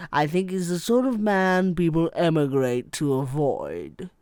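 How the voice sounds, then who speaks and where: An actor plays a caustic man delivering a scornful comic wedding toast. He takes his time and stretches key words, his pitch swinging widely. The recording is close and dry. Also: smooth, no echo. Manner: deliberate, scornful, with drawn-out emphatic words. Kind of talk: theatrical comic monologue.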